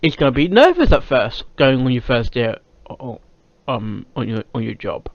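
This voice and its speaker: A British man giving casual off-the-cuff reaction commentary, often halting and repeating his words and phrases as he goes. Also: mid-range voice, very animated, no echo. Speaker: reaction video host. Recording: dull lo-fi microphone sound.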